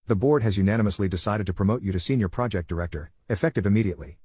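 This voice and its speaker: A man's voice: on the low side, racing, mellow, breathy edge, dry recording, clean studio sound. A man voicing a formal, approving board chairman in a narrated story.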